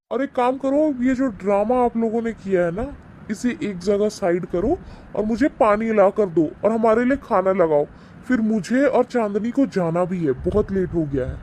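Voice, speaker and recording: A man playing a smug, boastful, wealthy son-in-law in an animated moral story, with a patronising, sneering manner. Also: sits high, slight background noise, natural voice.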